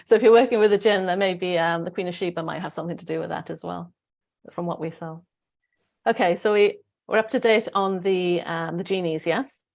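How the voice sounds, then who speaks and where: A woman's voice: on the low side, steady tone, fairly quick, vocal fry, darkish tone. A woman with a Welsh accent hosting a casual spiritual group discussion in an informal, rambling way. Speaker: host.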